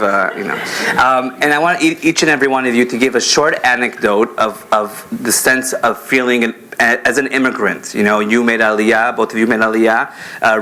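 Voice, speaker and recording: A man's voice: mid-range voice, bright, forceful tone, slight background noise. A man raised in Israel moderating a conference panel in a chatty, self-deprecating way, with many fillers. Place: conference hall.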